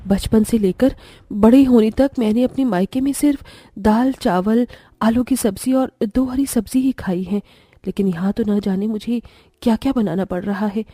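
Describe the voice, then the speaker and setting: A woman voicing a gentle, earnest young daughter-in-law from a poor family in a cartoon story. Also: fairly high pitch, moderate pace, no room sound, close to an everyday voice. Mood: troubled. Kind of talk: Hindi cartoon story voice acting.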